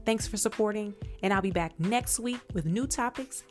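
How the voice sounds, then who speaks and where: A woman's voice: medium pitch, moderate pace, a little mellow, faint music behind. An American woman hosting a podcast, giving casual, opinionated commentary in an emphatic, colloquial way, speaking straight to her listeners. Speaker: podcast host.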